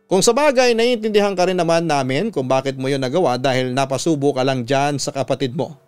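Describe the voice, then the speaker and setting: A Filipino man hosting a radio story program, reading scripted listener letters and giving advice in an earnest, measured way. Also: medium pitch, clear, resonant tone. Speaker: radio host and story narrator. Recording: music under the voice. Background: background music.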